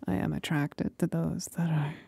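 A woman playing a seductive, mocking demon companion in a whispered ASMR roleplay, speaking breathily with deliberate pauses. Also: low-pitched, level tone, vocal fry, mellow, breathy edge, no room sound, studio quality, exaggerated voice. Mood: coy, knowing.

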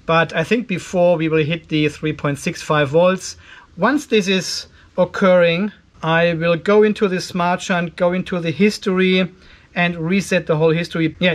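A man in his fifties giving a casual hands-on tech demonstration, conversational and thinking aloud as he works. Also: fairly high pitch. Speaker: host of an off-grid solar video channel. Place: indoor garage workshop.

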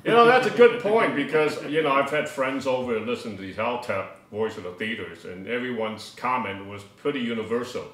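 A man's voice: medium pitch, animated, fairly quick, hardly any pauses, a bit bright, recorded from a distance. An older man co-hosting a casual, conversational discussion about a hobby. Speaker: co-host of an audio hobby show. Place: living room table indoors. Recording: echoey room sound.

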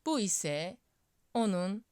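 A woman storyteller narrating a scripted fairy tale in deliberate phrases with pauses. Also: medium pitch, sing-song, darkish tone, smooth, dry recording, studio quality.

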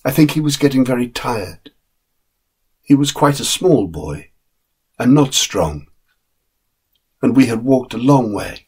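A man voicing a loyal, plain-spoken dog who narrates a storybook tale in a measured, wistful, husky way. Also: medium pitch, animated, darkish tone. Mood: wistful, earnest. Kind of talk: dramatic short story reading.

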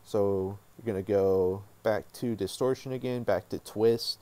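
Low-pitched man, casual tutorial host. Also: steady tone, unhurried, mellow. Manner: informal, thinking aloud while working. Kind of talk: casual screen-recorded software tutorial.

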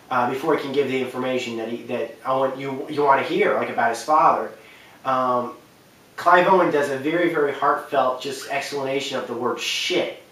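A man's voice: medium pitch, a bit bright. Young man rambling in an echoey room. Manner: rambling, emphatic. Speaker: video countdown host. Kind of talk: casual, unscripted game commentary. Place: indoor wood-panelled room, echoey. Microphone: camera mic a few feet away. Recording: echoey room, distant camera mic.

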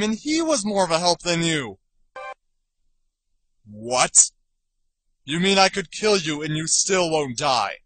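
A man voicing a snarky, excitable young male adventure hero in a parody cartoon, sarcastic and ranting theatrically. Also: on the high side, heavily acted, poor audio. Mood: furious. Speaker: amateur voice actor in a parody series. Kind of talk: comedic abridged-series cartoon dubbing.

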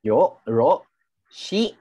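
A woman's voice: rumbling low, very animated, drawn-out words, mellow, breathy edge, no echo. A woman from the Philippines teaching beginner Japanese in a mock interview over a video call, patiently repeating phrases and encouraging her student.

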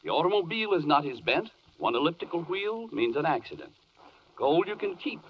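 A man's voice: on the high side, big pitch swings, fairly quick. A man playing a fussy spokesman reciting rhymed objections in a 1940s radio play. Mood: dismissive. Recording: muffled, noisy 1940s radio broadcast.

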